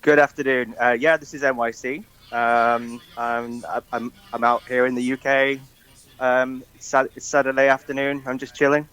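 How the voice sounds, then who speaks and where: Hesitant, flat-voiced English man. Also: medium pitch, normal speed, clear, resonant tone. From northwest England. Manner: hesitant, soft-spoken, many ums. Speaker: musician guest. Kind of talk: phone-in radio interview.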